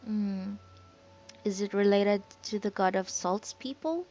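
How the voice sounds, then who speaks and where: A woman's voice: medium pitch, slow pace, darkish tone, dull audio, faint music behind. A woman giving casual playthrough commentary, musing aloud in a rambling way. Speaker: gaming video host.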